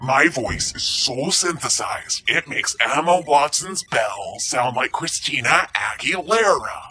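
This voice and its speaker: A man playing a secretive handler whose voice sounds synthesized, speaking in slow, ominous, commanding tones in an audio drama. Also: medium pitch, lively intonation, pushed and bright, a little hiss or hum. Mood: dry menace. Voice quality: synthesized, processed voice.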